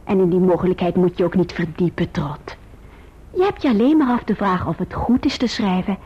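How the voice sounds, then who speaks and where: An actress playing a gentle, sensible young woman in a radio drama, soothing and measured. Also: lowish voice, breathy edge. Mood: calm, advising. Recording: dull old radio recording.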